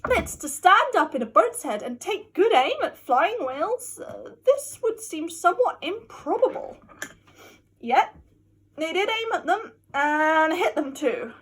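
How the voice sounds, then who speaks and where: A woman giving a theatrical, mock-scholarly dramatic reading of a classic novel, with emphatic delivery and a husky voice. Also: extremely high pitch, sing-song, unhurried, a bit bright, rough recording. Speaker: amateur reader.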